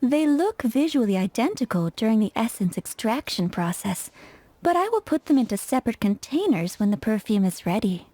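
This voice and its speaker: A flirtatious perfumer, high mellow voice. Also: female voice, very animated, normal speed. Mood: poised, instructive. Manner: coquettish, instructive, poised. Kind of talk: English video game voice acting.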